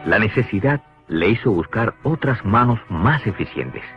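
Deep, expressive male educational narrator. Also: normal speed. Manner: didactic, explanatory. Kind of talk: scripted educational cartoon narration. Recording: dull lo-fi old cartoon soundtrack with music. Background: clear background music.

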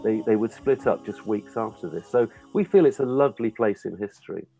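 A man's voice: lowish voice, brisk, gravelly, mellow, faint music behind, poor audio. A man in his fifties giving an enthusiastic interview account over a video call from a room at home. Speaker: interviewed film director. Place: home room lined with records.

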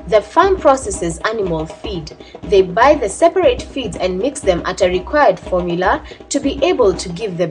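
A woman reading scripted narration for a documentary. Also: mid-range voice. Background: music.

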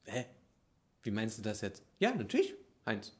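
A man's voice: on the low side, huge pitch swings, normal speed. A man in an improvised audio play playing a contented, simple-hearted warehouse worker, earnest and easily baffled. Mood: matter-of-fact. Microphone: microphone on stand.

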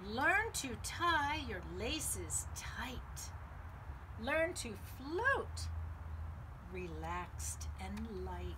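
A middle-aged woman reads a picture book to children in a high, very expressive, sing-song voice. She hosts storytime with deliberate, drawn-out phrasing, recorded outdoors with clear background noise. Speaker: library storytime host. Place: outdoors on a home patio.

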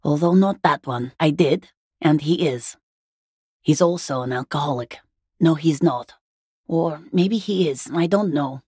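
A husky, weary working class woman. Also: low voice, a little slow, a little mellow, dry recording, studio quality. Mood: weary, insistent. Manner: defensive, exasperated, plain-spoken. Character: weary, guarded working class wife and mother. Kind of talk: video game character dialogue.

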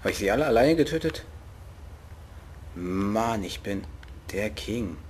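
A young man with a regional German dialect jokes and chats to himself while he plays. His voice sits fairly low, and faint game sound runs behind him. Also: lively intonation, a little slow, slight background noise. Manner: joking, playful, talks to himself. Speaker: let's play host. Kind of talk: casual gameplay commentary.

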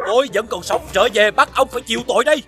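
A man voicing a brave, resolute young village hero in an animated fairy tale, earnest and rallying. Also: very high-pitched, fast talker, faint music behind. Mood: defiant.

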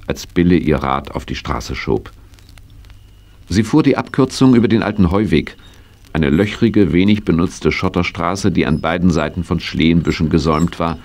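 A man's voice: sits low, a little mellow. A man narrating a children's audio drama as a dramatic storyteller. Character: male storyteller of a children's horse adventure.

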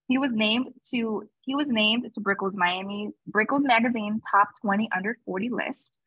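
Husky woman host on dull video-call audio. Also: medium pitch, no room sound. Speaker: event host. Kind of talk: introducing a moderator from a script. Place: indoor room on a video call. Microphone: video call microphone.

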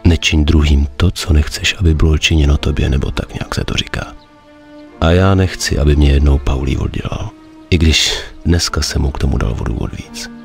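A man's voice: a bass voice, steady tone, vocal fry, soft background music. A man playing a terse, grave mafia enforcer with a conscience in a dubbed video game. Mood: reflective. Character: stern, conflicted male mafia enforcer with a conscience.

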